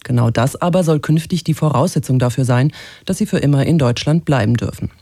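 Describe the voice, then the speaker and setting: A man's voice: medium pitch, a little fast, mellow, no room sound, studio quality. A man with a standard German accent from Germany, presenting scripted radio news.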